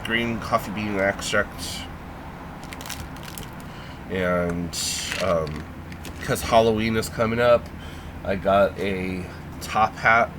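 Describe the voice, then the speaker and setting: Slow, low-voiced man rambling with ums. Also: background noise. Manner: halting, lots of ums and trailing pauses. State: congested, feeling sick. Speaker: vlogger. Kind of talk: casual rambling vlog.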